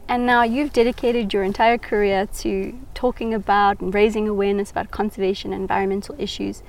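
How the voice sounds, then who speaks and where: A woman's voice: medium pitch, little pitch movement, brisk, poor audio. A woman co-hosting a podcast, politely asking the guest questions. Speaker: podcast co-host. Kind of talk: interview questions.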